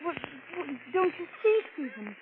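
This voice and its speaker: Upset pleading wife in a 40s radio mystery, halting, melodramatic, tinny and hissy old recording. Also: female voice, extremely high pitch, very animated, full character voice. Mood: desperate. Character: distraught, pleading wife accused of murder. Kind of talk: 1940s radio mystery acting.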